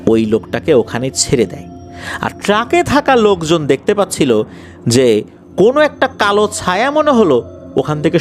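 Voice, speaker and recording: A Bangladeshi man tells horror stories in a fairly high, lively voice that moves up and down a lot. He speaks conversationally, circling back to explain details and build suspense. Faint music plays behind him. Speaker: horror story narrator. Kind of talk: conversational spoken storytelling of paranormal incidents. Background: faint background music.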